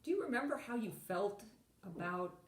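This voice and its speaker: A woman's voice: mid-range voice, animated, moderate pace, distant mic. A woman interviewing an older man, pressing him with follow-up questions. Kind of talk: oral history interview questions. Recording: roomy, from farther off the mic.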